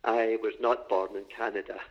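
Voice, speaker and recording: A man's voice: on the low side, flat delivery, normal speed, husky, highs cut off. An older man from Scotland with a Glasgow accent, a deacon telling his story as a podcast guest. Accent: Scottish, Glasgow. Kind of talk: podcast interview.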